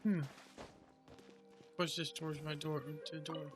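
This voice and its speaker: A man's voice: on the high side, little pitch movement, unhurried. A man giving casual gameplay commentary, thinking aloud in short, offhand remarks. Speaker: gamer playing through a story quest. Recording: game music behind. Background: game music.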